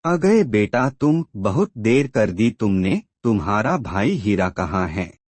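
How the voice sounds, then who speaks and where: Man acting a slow-talking, worried old father. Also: fairly low pitch, sing-song, a little mellow, no room sound, full character voice. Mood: caring. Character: ailing, worried old father, gentle family patriarch. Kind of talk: Hindi cartoon moral story acting.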